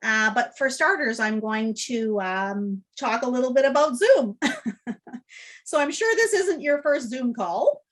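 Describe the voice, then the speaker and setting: A woman's voice: a little high, lively intonation, unhurried. A woman welcoming people to an online meeting, chatty and friendly, with many fillers as she runs through the call rules. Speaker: meeting host. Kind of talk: welcome and housekeeping on a video call. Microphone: video call.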